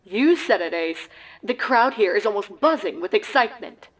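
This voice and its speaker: A woman voicing showy, over-excited cartoon pony sports announcers in grandiose mock commentary. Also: mid-range voice, natural voice, rough recording. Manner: grandiose, drawn-out introductions.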